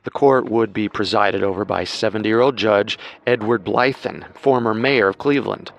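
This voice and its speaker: A man co-hosts a true-crime show, reading scripted narration. His voice sits somewhat low. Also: moderate pace, vocal fry, highs cut off. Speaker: podcast co-host and narrator.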